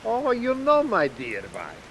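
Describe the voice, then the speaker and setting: An actor playing a jealous middle-aged foreign husband in a 1940s radio detective drama, courteous and florid in fractured English, even when threatening. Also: male voice, extremely high pitch, slow, heavily acted. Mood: suave, suspicious. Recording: hissy vintage radio recording, rain overlaid.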